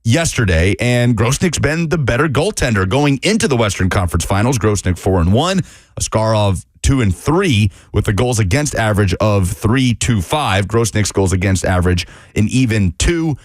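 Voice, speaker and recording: A man breaking down sports on a studio radio show, brisk and detailed, rattling off records and numbers. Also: on the low side, animated, no room sound. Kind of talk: sports radio talk, analysis. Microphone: studio microphone.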